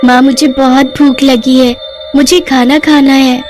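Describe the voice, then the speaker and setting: A woman's voice: sits high, flat delivery, measured pace, a little mellow, faint music behind, pitched above the performer's own voice. A woman voicing a hungry, upset five-year-old village girl in a cartoon story, with a childlike, pleading whine. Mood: whining, hungry.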